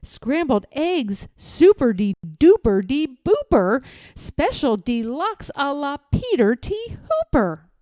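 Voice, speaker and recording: A woman reading a children's story aloud in a theatrical, rhythmic way, as expressive storytelling. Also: sits high, slow pace, no echo, studio quality. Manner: theatrical, rhythmic rhyming delivery. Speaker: storybook reader.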